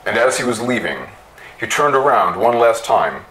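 A man giving a theatrical story reading with deliberate emphasis. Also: mid-range voice, sing-song, a bit bright, low-quality recording. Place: small indoor room with bookshelves.

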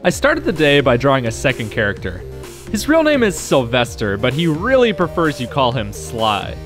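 A man's voice: mid-range voice, huge pitch swings, normal speed, over music. A young man narrating a scripted vlog in a self-deprecating way. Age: young adult. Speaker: host, amateur game maker.

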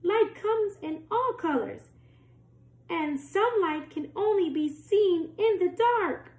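Wise, encouraging storybook star, played by a woman. Emphatic, raised high pitch, roomy sound. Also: animated, slow, darkish tone, full character voice. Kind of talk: storybook read-aloud. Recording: roomy, echoing home recording.

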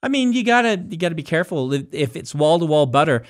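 A man's voice: on the high side, very animated, fast. A man in his forties co-hosting a podcast in casual, rambling chat, correcting himself as he goes, on a studio mic. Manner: rambling, self-correcting, playful. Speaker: podcast co-host. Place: small studio set indoors. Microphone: boom-mounted studio mic.

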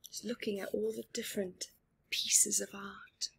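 A woman in her forties reading a picture book aloud to children at home, expressive and deliberate, with dramatic pauses and asides. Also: medium pitch, creaky, slight background noise. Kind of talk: expressive read-aloud with asides to young viewers. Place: small living room at home.

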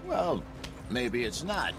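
A man's voice: medium pitch, big pitch swings, slow. An actor playing an eloquent, wry older con man with an American accent in a western game. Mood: somber, storytelling. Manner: wordy, theatrical, wry. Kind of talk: cinematic western game acting. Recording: music and wagon sounds behind.

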